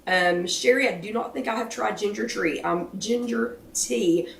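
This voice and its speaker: An American woman with a hoarse, failing voice, chatting casually and apologetically as she hosts a livestream. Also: mid-range voice, moderate pace. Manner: chatty, apologetic, self-deprecating. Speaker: host of a live weight-loss chat. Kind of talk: casual livestream chat greeting viewers. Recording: roomy echo, distant microphone.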